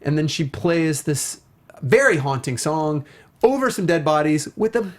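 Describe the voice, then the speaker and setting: A man's voice: on the high side, lively intonation, unhurried. A man hosting a talk show, chatting casually and emphatically about a video game with plenty of enthusiasm.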